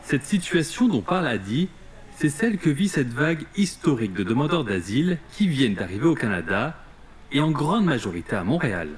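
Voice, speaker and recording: A man's voice: mid-range voice, very animated, brisk. A man reading scripted narration for an investigative television news report.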